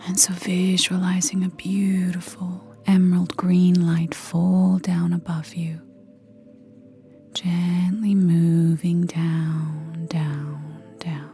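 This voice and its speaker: Slow, whispery low-voiced woman guiding meditation. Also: completely flat delivery. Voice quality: soft, breathy, whispery. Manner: gentle, soothing, unhurried with long pauses. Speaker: meditation guide. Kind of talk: guided meditation, soft breathy near-whisper. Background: soft background music.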